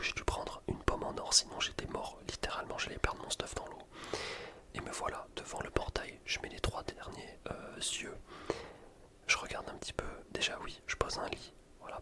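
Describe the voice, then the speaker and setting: A man commentating on gameplay in a breathy, unvoiced ASMR whisper, informal and explanatory. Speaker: gaming video creator. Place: voice-over recorded separately from gameplay.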